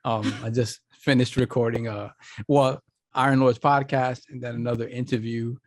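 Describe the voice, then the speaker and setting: A tired man with a gravelly, worn-out voice, co-hosting a casual gaming podcast and thinking aloud with many restarts. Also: mid-range voice, steady tone, moderate pace, no room sound, clean studio sound. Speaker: podcast co-host.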